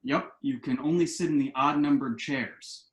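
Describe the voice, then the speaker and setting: An actor playing an earnest, anxious adult son in a conversational comedy play reading, explaining things in an echoey room. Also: male voice, medium pitch, normal speed, very noisy, close to an everyday voice. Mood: earnest, insistent. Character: earnest adult son, anxious and quirky about house rules.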